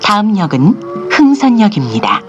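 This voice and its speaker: A woman's voice: medium pitch, wildly swooping pitch, moderate pace, lo-fi sound. A woman reading formal, polite train station announcements in Korean, played over a train's speaker. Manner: formal, polite, evenly paced. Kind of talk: recorded transit announcement. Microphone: recorded on a handheld device inside the train. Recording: onboard speaker with chimes.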